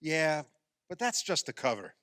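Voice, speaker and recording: Actor as a sarcastic sore loser, animated man. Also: fairly high pitch, a little slow, no room sound, clean studio sound, exaggerated voice. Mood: accusing. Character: self-pitying, sarcastic male amateur basketball player, sore loser. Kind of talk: radio play dialogue.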